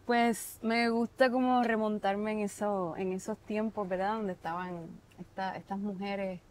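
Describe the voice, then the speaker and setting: A woman's voice: mid-range voice, fairly even intonation, a little slow. A Puerto Rican woman chef in her thirties, answering interview questions in a thoughtful way, restarting often, recorded with a lapel mic on an outdoor patio. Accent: Puerto Rican Spanish. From Puerto Rico. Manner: thoughtful, many restarts and filler words.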